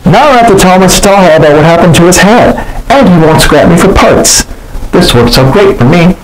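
A man's voice: sits high, lively intonation, fairly quick, a little hiss or hum, pitched above the performer's own voice, rough recording. A man voicing a cheerful, earnest little plane in a storybook, in an eager, childlike manner.

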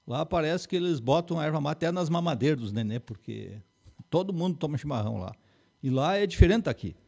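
Gravelly gaúcho man explaining facts. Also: fairly high pitch, a little fast. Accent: Rio Grande do Sul gaúcho Portuguese. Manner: fact-heavy, steady explaining with figures. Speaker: forestry engineer guest. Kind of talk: explanatory studio interview. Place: small TV studio with banners.

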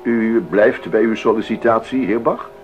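A man playing a formal, deliberate rector chairing a council in a radio play, speaking in a measured way. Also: on the low side, fairly even intonation, dull audio, soft background music, natural voice. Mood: measured, official. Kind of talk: 1980s Dutch radio play acting.

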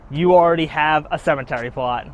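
A man's voice: fairly high pitch, fairly quick. A young man hosting a casual vlog outdoors, chatting and joking on a handheld camera. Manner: chatty, joking, gives spookiness ratings. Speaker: vlog host. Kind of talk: casual chat. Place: outdoors in a sunny cemetery. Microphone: handheld camera on a gimbal.

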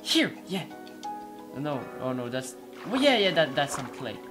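A young man who is not a native English speaker, excitedly commentating his own gameplay from a headset in a small room, stumbling and exclaiming often. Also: a little high, a little slow, music in the background. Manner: excitable, hesitant, frequent exclamations. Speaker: gaming channel host.

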